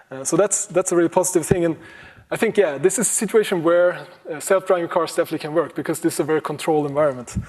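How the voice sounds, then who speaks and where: A man with a fairly high voice gives a conference talk on computing history. He speaks casually and haltingly, with plenty of ums, restarts and an occasional chuckle. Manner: relaxed, many ums and restarts, chuckles now and then.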